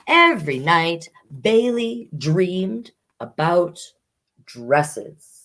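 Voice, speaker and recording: A woman hosting a children's storytime, reading picture books aloud expressively and adding chatty asides. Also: lowish voice. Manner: expressive, unhurried, comments on the story as she reads.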